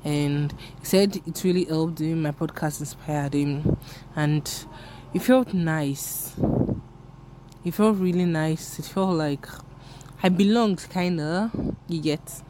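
A woman's voice: low-pitched, takes it slowly, background noise. Young Nigerian woman rambling candidly. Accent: Nigerian English. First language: Yoruba. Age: young adult. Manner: rambling, candid, self-deprecating. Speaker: podcast host. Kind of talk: casual solo podcast chat.

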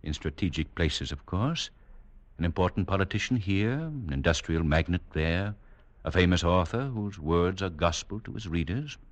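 Very deep, courteous, condescending doctor. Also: male voice, lively intonation, dry recording. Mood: genial, assured. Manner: courteous, measured, patronising. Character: gentle, condescending leader of a superior hidden race. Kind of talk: 1940s-50s radio drama acting. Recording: dull vintage radio recording.

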